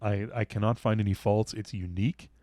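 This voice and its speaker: A man's voice: lowish voice, fairly even intonation, a little fast, dark tone, no room sound, studio quality. A man co-hosting a casual beer tasting, raving enthusiastically about what he smells and tastes. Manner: enthusiastic, effusive. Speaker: beer reviewer, co-host.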